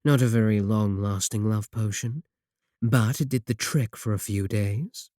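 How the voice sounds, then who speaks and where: A man playing a sly, sadistic warlock in a roleplay audio drama, smug and possessive, with taunting, deliberate phrasing. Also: fairly low pitch, dry recording, studio quality, close to an everyday voice. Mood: mocking, menacing. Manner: taunting, drawn-out, deliberate phrasing with dark chuckles. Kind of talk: scripted roleplay audio drama, spoken to the listener.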